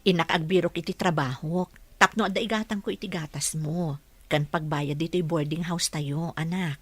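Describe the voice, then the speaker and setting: An actress playing a gentle, earnest young single mother looking for work in an audio drama, speaking politely. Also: sits low, fairly even intonation, moderate pace. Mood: tender, reassuring.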